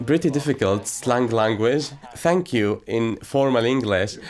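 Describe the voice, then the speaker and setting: A young man, telling his story in light, halting English. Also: medium pitch, expressive. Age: young adult. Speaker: interviewee.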